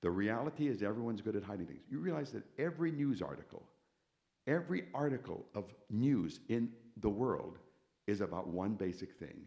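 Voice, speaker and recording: Older male preacher, deep and gravelly, emphatic church testimony with big dynamic swings. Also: expressive, brisk. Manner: emphatic preacher, repeats key words, swings from quiet to loud. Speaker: concert pianist giving testimony. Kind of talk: testimony sermon to a congregation. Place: church sanctuary.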